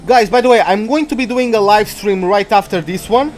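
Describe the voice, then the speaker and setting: A man's voice: high-pitched, fairly quick, a little mellow, a little hiss or hum. A man from Portugal with a Portuguese accent, chatting and rambling as he streams live commentary on a racing game. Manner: chatty, rambling, easily distracted. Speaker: gaming streamer.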